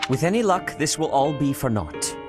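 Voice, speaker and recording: A man's voice: fairly high pitch, sing-song, normal speed, music in the background. A man playing a strict, dutiful church official in a video game, speaking in a formal, precise, stern manner. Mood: grave, dutiful.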